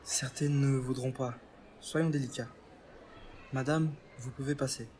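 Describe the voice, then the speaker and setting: A man's voice: medium pitch, steady tone, measured pace, a little mellow, a little hiss or hum, close to an everyday voice. A man playing a concerned, pragmatic doctor in a French audio drama. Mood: instructing. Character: concerned, pragmatic male doctor among camp survivors.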